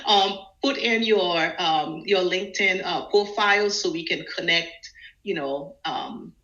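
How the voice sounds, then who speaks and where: Echoey, bright-voiced woman hosting a chat. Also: on the low side, a little slow. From born in Haiti, raised in New Jersey. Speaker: livestream host, interviewer. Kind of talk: informal interview chat. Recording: dull, echoey livestream audio.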